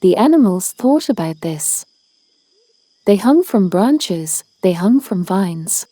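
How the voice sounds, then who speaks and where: A woman narrates a children's story in a read-aloud style. Her voice is mid-pitched and mellow. The sound is dry, with hardly any room to it. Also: lively intonation, slow pace, no echo. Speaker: storybook reader.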